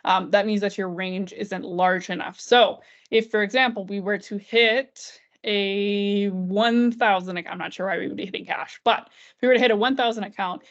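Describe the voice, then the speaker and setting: Woman giving a software tutorial, slightly muffled audio. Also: mid-range voice. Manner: informal, walks through steps aloud. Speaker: software trainer. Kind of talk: screen-share software tutorial, explanatory and conversational. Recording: dull, band-limited lo-fi audio.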